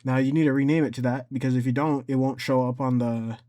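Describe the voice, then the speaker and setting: A man giving a casual, unscripted software tutorial, thinking aloud as he goes, haltingly repeating and correcting himself. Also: medium pitch, little pitch movement. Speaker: tutorial host.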